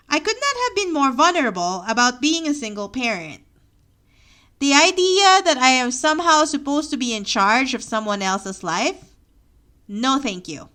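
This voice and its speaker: Bright-voiced Filipino woman reading her memoir. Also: high voice. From Philippines. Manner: reflective, earnest storytelling with rhetorical questions. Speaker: podcast host. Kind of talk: reading a personal memoir aloud.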